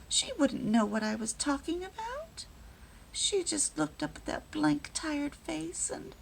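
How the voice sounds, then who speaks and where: A woman voicing a kind, soft-hearted young wife who speaks emotionally and haltingly in a read-aloud story. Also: high-pitched, creaky, a little hiss or hum, exaggerated voice, poor audio.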